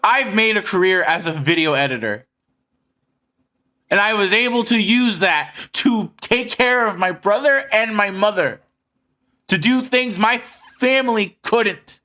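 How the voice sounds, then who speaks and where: A man's voice: high voice, unhurried, sharp tone. A man speaking earnestly and emphatically to his viewers in a personal monologue, swearing freely. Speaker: video creator addressing subscribers.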